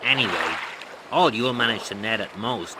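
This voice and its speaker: A man's voice: fairly low pitch, animated, normal speed, heavy background noise, lightly acted. An actor playing a sly, mocking old male ferryman who gives dry, clipped answers in a game. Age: older. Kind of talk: game voice acting.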